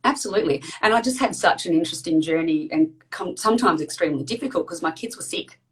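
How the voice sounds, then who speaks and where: A woman in her thirties hosting a casual live video chat, chatty and quick, with lots of fillers. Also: on the low side, expressive. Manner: chatty, talks fast with many fillers. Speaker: host.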